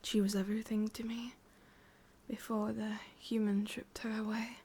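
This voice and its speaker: A woman's voice: on the high side, flat delivery, moderate pace, darkish tone, breathy edge. A woman with an English accent playing a possessive, sadistic ancient witch in a whispered ASMR roleplay, coaxing and controlling. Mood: wistful, menacing.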